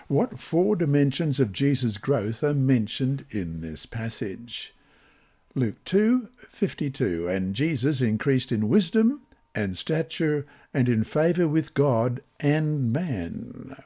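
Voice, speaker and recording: A man from Australia reads a scripted Bible study lesson aloud, with measured, deliberate phrasing and emphatic pauses. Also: medium pitch, big pitch swings, warm tone, husky. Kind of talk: scripted devotional reading.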